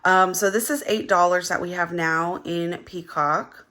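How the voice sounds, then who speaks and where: A young woman with a slightly low yet bright, forward voice talks casually while counting money. Her tone is a little gravelly and hoarse from just having woken up. She rambles along with plenty of fillers and counts out loud. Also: fairly even intonation, measured pace. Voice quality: gravelly early-morning voice. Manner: chatty, rambling, with many fillers and counting aloud. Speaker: budgeting vlogger.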